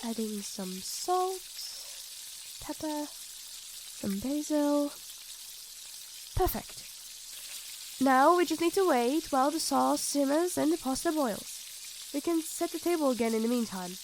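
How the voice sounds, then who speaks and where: High-voiced sweet young girlfriend played by a woman. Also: slow pace, long pauses, slight background noise, exaggerated voice, poor audio. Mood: cheerful, domestic. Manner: expressive, tender, speaking intimately to a partner. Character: affectionate young girlfriend, sweet, easily panicked and flustered. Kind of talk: ASMR roleplay acting addressed to the listener.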